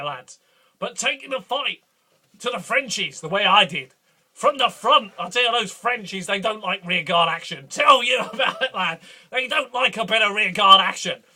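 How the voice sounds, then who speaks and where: A man playing a boastful, belligerent duke in a rambling, bombastic comic monologue, with a husky voice. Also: high-pitched, bright, forceful tone, exaggerated voice. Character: boastful blustering male Scottish duke, belligerent. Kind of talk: improvised comic character monologue.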